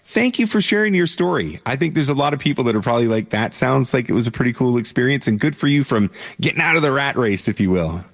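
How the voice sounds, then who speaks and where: A man's voice: medium pitch, expressive, fast talker, creaky, few pauses. A middle-aged Canadian man hosting a radio show, chatting with a guest and then giving an earnest, emphatic pep talk to listeners. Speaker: radio host. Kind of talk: radio interview and motivational monologue.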